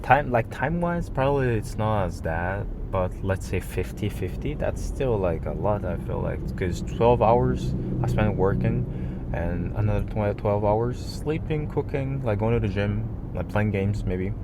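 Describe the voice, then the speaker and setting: A man's voice: fairly low pitch, animated, a little slow, noisy background. A man whose first language is Japanese rambles casually to his vlog audience in slangy, non-native English. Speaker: vlogger.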